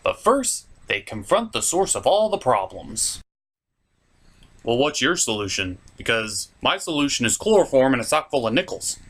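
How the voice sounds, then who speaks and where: A man's voice: medium pitch, big pitch swings, normal speed, fairly bright tone, slight background noise. A man giving a scripted comedic review as host, with an exasperated, sweary, ranting delivery. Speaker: puppet-show reviewer and host.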